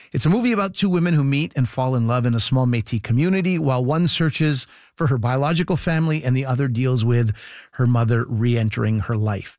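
A male radio host delivers a scripted introduction in a conversational manner, addressing the listener directly. His voice sits at an ordinary pitch for a man and is recorded very dry, with no room sound. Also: darkish tone, no room sound.

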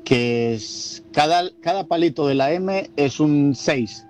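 A man's voice: on the high side, takes it slowly, a little mellow. A Colombian man with a Colombian Spanish accent, talking casually as a caller to a radio show. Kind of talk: casual call-in talk. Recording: dull lo-fi call, some background noise.

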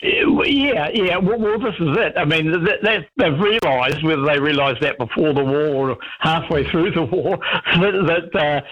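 A man calling a radio phone-in, giving opinions casually in a halting, pause-filled way over a phone. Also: on the high side, expressive. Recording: dull lo-fi phone call.

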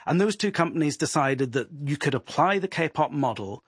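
A man, a guest reporter, explaining at length in a steady, informed way on a podcast. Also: a little high, moderate pace, husky, dry recording, clean studio sound.

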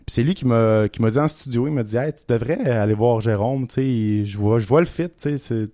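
A young man with a Québécois French accent, a country singer telling about his songs in a relaxed, halting radio chat with many restarts. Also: medium pitch, no echo, clean studio sound. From Quebec. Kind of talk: casual radio interview.

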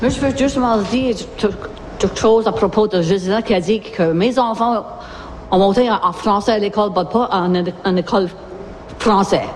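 A woman from Ontario, a native English speaker, giving a casual personal account in halting second-language French with English words mixed in. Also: mid-range voice, darkish tone. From Ontario, Canada. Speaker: parent at a community discussion. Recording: echoey hall, faint background noise.

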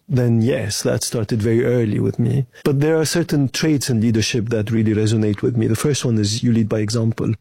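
A middle-aged man from Lebanon, a bank chief executive answering interview questions in a measured, thoughtful way with some fillers. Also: medium pitch, dark tone, slightly rough, no room sound.

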